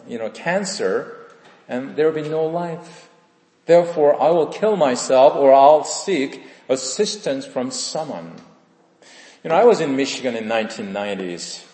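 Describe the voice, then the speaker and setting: A man from South Korea with a Korean accent, preaching a sermon in earnest, rising for stress and dropping low at the end of phrases. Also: darkish tone. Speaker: pastor. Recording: echoey hall with long reverb.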